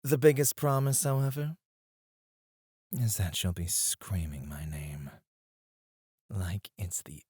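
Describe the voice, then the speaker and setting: A man playing a suave, flirtatious club escort in an intimate ASMR roleplay, teasing and breathy, close to the mic. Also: low-pitched, mellow, dry recording, full character voice. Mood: sultry, seductive. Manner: slow, teasing, seductive, often dropping to a breathy murmur. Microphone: close studio microphone, dry.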